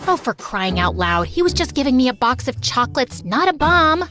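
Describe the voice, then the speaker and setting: A woman's voice: high-pitched, sing-song, moderate pace, fairly bright tone. A woman voicing a dramatic, sassy college-age rebellious daughter who tells her own story in a theatrical, sarcastic way. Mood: exasperated, sassy. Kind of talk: animated first-person story narration. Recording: music behind the voice. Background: music.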